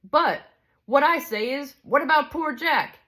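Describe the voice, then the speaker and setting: A woman's voice: sits high, animated, measured pace, a bit bright, voice pushed up. A woman voicing a big, self-righteous male ghost who complains in coarse working-class speech. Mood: indignant.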